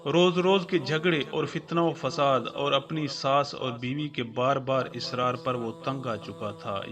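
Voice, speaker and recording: A man hosting and narrating a scripted moral story in a formal, measured storytelling manner. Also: medium pitch. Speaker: narrator and channel host. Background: background music.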